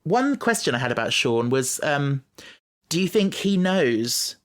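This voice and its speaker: A man's voice: on the high side, very animated, unhurried, a bit bright. A man hosting a casual fan podcast, talking enthusiastically and tentatively, often checking in with little tag questions. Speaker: podcast host.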